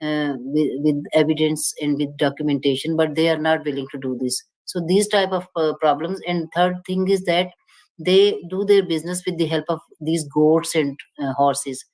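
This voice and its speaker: A woman with a very low voice answers a question at a panel discussion. She talks in halting stretches, hunting for words and restarting phrases. Her tone stays explanatory throughout. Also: fairly even intonation, a little mellow, rough recording. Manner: hesitant, many fillers and restarts, explanatory. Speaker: panel speaker.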